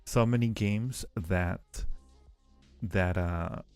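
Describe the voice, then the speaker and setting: A man's voice: low-pitched, drawn-out words, clean tone, dry recording, faint music behind. A man hosting a casual livestream, chatting playfully and affectionately with viewers in a rambling way, often repeating phrases. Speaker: livestream host.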